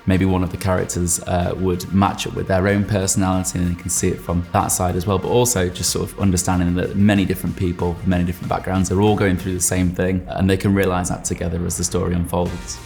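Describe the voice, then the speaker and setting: A man gives measured interview reflections in a very low, gravelly voice. The intonation stays fairly flat, and light music plays behind him.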